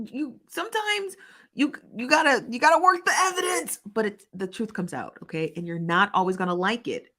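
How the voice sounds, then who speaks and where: A woman's voice: high voice, fairly bright tone. A woman hosting a commentary show, arguing her case with emphatic repetition and reading viewer comments. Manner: emphatic, repetitive, argumentative, with quick laughs.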